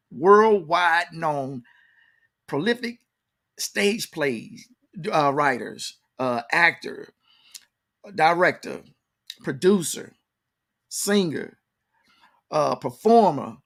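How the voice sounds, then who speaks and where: A man with a fairly high-pitched voice hosts a casual interview. He talks in a folksy, hyping way and likes to repeat words for emphasis. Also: sing-song, takes it slowly, long pauses, fairly bright tone. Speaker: interview show host. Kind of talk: casual talk-show interviewing.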